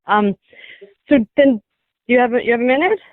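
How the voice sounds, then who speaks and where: Lady caller on the phone, high voice, tinny line, talking through a personal thing. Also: lively intonation, moderate pace, dry recording. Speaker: caller, wife on a radio prank call. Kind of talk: emotional phone confrontation. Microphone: telephone. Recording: dry, muffled phone line sound.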